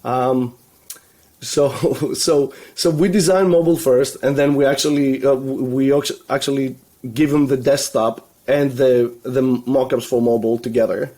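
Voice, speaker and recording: A man's voice: mid-range voice, fairly even intonation, normal speed, warm tone. A man from Greece, a guest on a video call, who talks in loose rambling runs with many fillers and restarts and laughs easily. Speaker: guest, web agency founder. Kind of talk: casual video call interview. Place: home room full of toy shelves.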